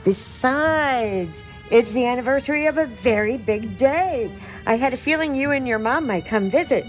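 An actress playing a chatty, folksy great-aunt who is pushy and slyly over-friendly in an audio drama. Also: female voice, a little high, animated, normal speed, soft background music, close to an everyday voice, poor audio. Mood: folksy, coaxing. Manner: chatty, insistent, theatrical.

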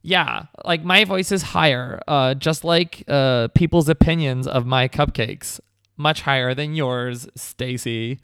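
A man voicing a brash, combative woman who runs a cupcake shop, in a sassy, defiant falsetto. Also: takes it slowly, a bit bright, smooth, no echo, clean studio sound. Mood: boastful. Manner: sassy, defiant, high put-on voice. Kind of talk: comedy podcast sketch.

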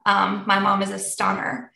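A woman's voice: medium pitch, monotone, moderate pace, creaky, echoey room, distant mic. A woman in her thirties, a podcast guest raised in the United States, telling her own story openly and reflectively, with frequent ums. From born in Germany, raised in the United States. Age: 30s. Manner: open and reflective, with many ums and soft laughs. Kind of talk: candid personal storytelling.

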